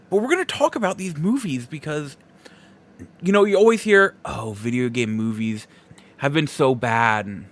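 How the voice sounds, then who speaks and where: A man hosting a podcast solo, giving opinions in a casual, conversational and emphatic way. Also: mid-range voice. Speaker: podcast host.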